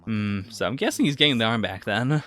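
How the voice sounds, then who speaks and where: Male podcast co-host: animated intonation, forward bright tone, casual and measured critique. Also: mid-range voice. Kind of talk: casual reaction commentary on anime.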